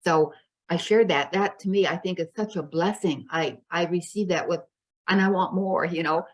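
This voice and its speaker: Woman podcast host, casual and affirming, low-pitched. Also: normal speed. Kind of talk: casual chat.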